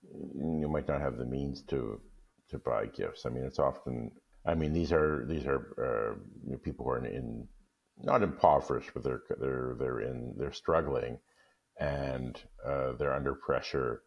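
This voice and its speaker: A man chatting casually as a guest, halting and repeating words as he thinks aloud. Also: a bass voice, vocal fry, breathy edge, lo-fi sound. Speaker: guest story reader in discussion. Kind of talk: casual conversation.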